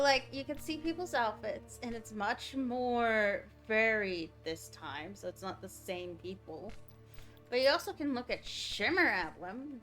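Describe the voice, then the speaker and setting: A woman's voice: on the high side, fairly bright tone. A woman livestreaming a game, chatting casually and thinking aloud as she reacts to what is on screen. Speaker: game streamer.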